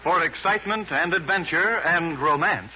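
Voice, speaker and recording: A man's voice: on the high side, normal speed, slight background noise. A man working as a radio announcer, reading scripted credits in 1950s style. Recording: muffled old radio recording with organ. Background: organ music.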